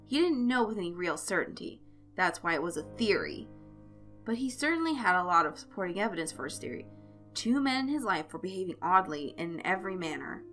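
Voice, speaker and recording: A woman reads a scripted story as an expressive narrator. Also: medium pitch. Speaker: fan fiction narrator. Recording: music behind the voice. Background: instrumental music.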